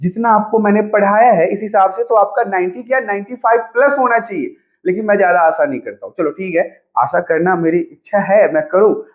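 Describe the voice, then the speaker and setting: A man's voice: high voice, dull audio. A man teaching an informal exam-prep lesson online, chatty and encouraging, repeating phrases for emphasis.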